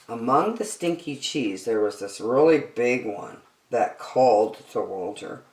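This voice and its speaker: A woman reading a children's picture book aloud as a story-time host, with an expressive, dramatic delivery. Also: extremely low pitch, a little slow. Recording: echoey room.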